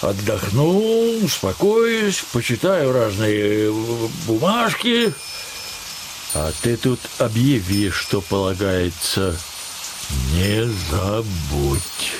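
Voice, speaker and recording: An actor playing a weary, pompous official in an audio play, with a drawn-out, halting delivery. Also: male voice, lowish voice, sing-song, heavily acted. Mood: weary, bossy. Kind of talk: theatrical audio play acting. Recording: loud rain-like noise behind voice.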